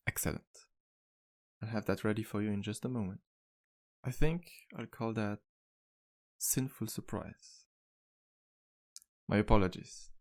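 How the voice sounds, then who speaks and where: A man playing a charming, flirtatious vampire bartender in an ASMR roleplay, speaking in a courteous, teasing, intimate way with unhurried pauses. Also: lowish voice, a little mellow. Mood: playful, charmed. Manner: smooth-talking, courteous, playful, unhurried pauses. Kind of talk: ASMR roleplay, intimate one-sided dialogue.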